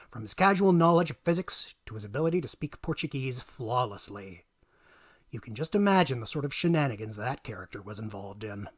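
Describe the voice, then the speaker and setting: Male narrator for a dramatic story reading, mid-pitched voice, theatrical, hushed asides into punched lines. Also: slightly rough. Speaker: reader of a tabletop gaming tale.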